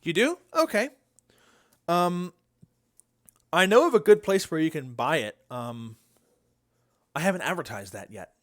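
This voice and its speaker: A man's voice: on the high side, very animated, a little slow, long pauses. A man streaming a video game, chatting casually with his viewers in a self-deprecating way. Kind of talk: casual livestream chat while playing.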